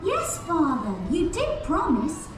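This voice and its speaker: A woman's voice: extremely high pitch, wildly swooping pitch, takes it slowly, noisy background, full character voice. A woman playing a lovestruck young mayor's daughter in a children's puppet show, girlish, pleading and theatrical. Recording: echoey theatre from far off, music.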